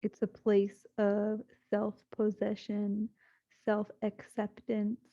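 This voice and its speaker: A woman leading a guided sleep meditation, speaking slowly and soothingly with long pauses. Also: mid-range voice, completely flat delivery, warm tone, highs cut off.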